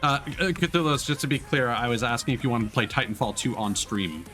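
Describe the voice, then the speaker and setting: A man's voice: mid-range voice, a little fast, pushed and bright, faint music behind. A man doing casual live gaming commentary, thinking aloud and chatting to his viewers. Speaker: livestreamer, gamer.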